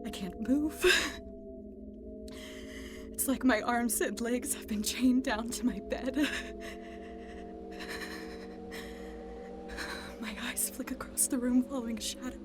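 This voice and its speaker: A woman acting in an audio drama as an anxious, guarded young woman plagued by nightmares, with breathy, intense delivery. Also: on the high side, fairly even intonation, unhurried, music in the background, lightly acted. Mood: frightened, hushed. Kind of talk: audio drama acting with first-person narration.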